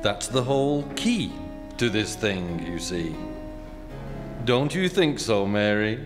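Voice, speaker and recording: A man playing a macabre old-time radio host, deliberate and wry, recorded on a reverberant stage with music behind him. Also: on the low side, big pitch swings. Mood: wry, relaxed. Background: plucked guitar music.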